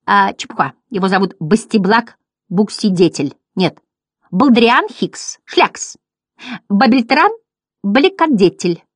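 A woman voicing boisterous, mocking clown moths in a storybook, shouting in exclamatory, teasing chatter. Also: mid-range voice, animated, slow, no echo, clean studio sound. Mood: giddy jubilant. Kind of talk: storybook character voices.

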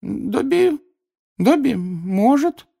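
A storybook reader voicing a timid, devoted little male house-elf with a squeaky, breathy voice and halting, servile speech. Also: big pitch swings, warm tone, exaggerated voice. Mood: hesitant daring. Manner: halting, servile, speaking of himself in third person.

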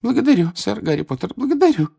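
A storybook reader voicing a timid, devoted little male house-elf with a squeaky, breathy voice and halting, servile speech. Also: big pitch swings, dark tone, heavily acted. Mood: grateful, eager. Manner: halting, servile, speaking of himself in third person.

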